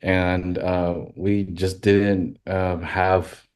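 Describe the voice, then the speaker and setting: A deep-voiced man from Thailand talks as a podcast guest, his tone low and mellow. He speaks haltingly, full of fillers and restarts, in a relaxed interview. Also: clear, resonant tone. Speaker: podcast guest, western filmmaker.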